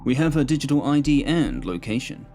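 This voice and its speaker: A man voicing a forceful, ambitious police captain with a commanding, brisk manner, in an audiobook. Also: medium pitch, animated, faint music behind, pitched above the performer's own voice, lightly acted.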